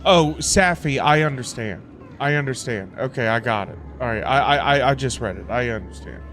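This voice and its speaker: A man livestreaming a game with casual commentary, excitable and slangy, often repeating himself. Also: mid-range voice, moderate pace. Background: game music.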